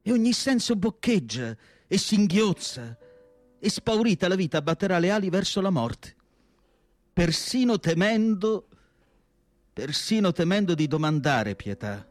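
A man playing a melancholy asylum doctor in radio theatre, narrating in an emphatic literary monologue with dramatic pauses. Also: fairly high pitch, expressive, darkish tone, dry recording. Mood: anguished. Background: music.

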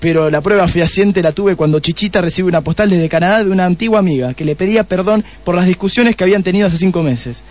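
A man's voice: high-pitched, darkish tone, no room sound, close to an everyday voice. A man playing a smug, theatrical police detective with an Argentine accent in a comedic radio drama. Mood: self-satisfied. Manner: self-satisfied, explaining with dramatic pauses.

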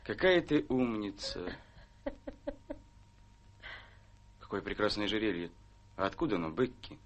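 An actor playing a worried, weary gentleman gambler husband in a radio play, glum and dryly ironic. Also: fairly low pitch, sing-song, brisk, muffled recording. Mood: resigned, ironic. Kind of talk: theatrical radio play acting.

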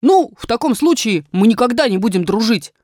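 A woman's voice: on the high side, expressive, brisk, a little mellow, no echo. Storybook wild dog read by a woman: curious, eager, plainly friendly, dry close sound.

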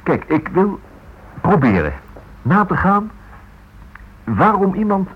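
An actor playing a polite, hesitant writer in a Dutch radio play, probing in a halting way and repeating himself. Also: male voice, sits high, big pitch swings, husky, full character voice. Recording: muffled, narrow-band old radio recording.

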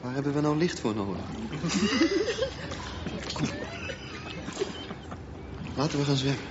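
An actor playing an insistent, eerie youth drawn to the sea in a radio drama. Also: male voice, mid-range voice, unhurried, close to an everyday voice. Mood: coaxing, insistent. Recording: muffled radio recording with loud background noise.

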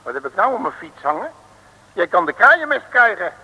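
A brash, boastful bumbling detective. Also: male voice, high voice, big pitch swings, normal speed, bright, forceful tone, husky, exaggerated voice, low-quality recording. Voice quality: brash, chatty, slangy. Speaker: detective Piet Loeris. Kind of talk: 1950s Dutch radio comedy acting.

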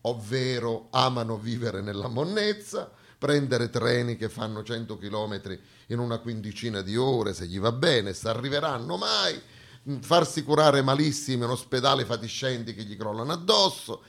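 A man's voice: mid-range voice, expressive, normal speed, creaky, fairly bright tone. A man performing a satirical, colloquial theatre monologue on stage, ironic and full of rhetorical questions.

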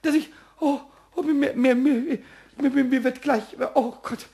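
A man's voice: very high voice, steady tone, a little slow, vocal fry, mellow, heavily acted. A man playing a small, cheeky, childishly indignant kobold in a German radio play, stammering and repeating himself in exclamations. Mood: outraged, flustered.

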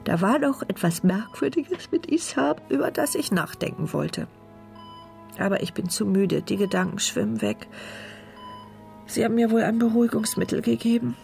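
A woman's voice: a little high, animated, moderate pace, vocal fry, a little mellow, faint music behind. An actress playing an injured woman who narrates her thoughts in a wry, wary inner monologue for a radio crime drama. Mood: drowsy, brooding. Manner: inward, sardonic monologue.

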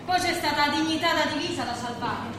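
A very high female voice rings from far off in a noisy, heavily echoing hall. It is an actress as a weary, wounded woman in a stage play, reproachful and pleading. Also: fast, natural voice. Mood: bitter. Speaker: stage actor. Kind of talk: Italian stage drama dialogue. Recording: distant, echoey hall with background noise.

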